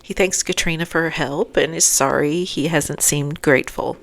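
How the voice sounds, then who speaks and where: Female recap host, lowish pitch with heavy fry, scripted but chatty. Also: moderate pace. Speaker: host of a TV episode recap podcast.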